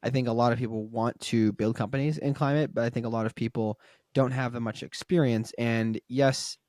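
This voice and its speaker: A man's voice: mid-range voice, steady tone, brisk, a little mellow, dry recording, clean studio sound. A man hosting a podcast interview, chatty and casual, often ending his points with a question tag. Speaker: podcast host.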